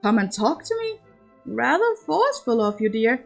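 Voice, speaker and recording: A woman's voice: a little high, sing-song, gravelly, faint music behind, full character voice. Woman voicing a fond, teasing countess mother. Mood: instructive. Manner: genteel, measured, drily teasing.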